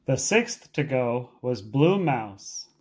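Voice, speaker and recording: An English teacher reading a storybook aloud for learners, with deliberate, expressive and emphatic phrasing. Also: medium pitch, breathy edge.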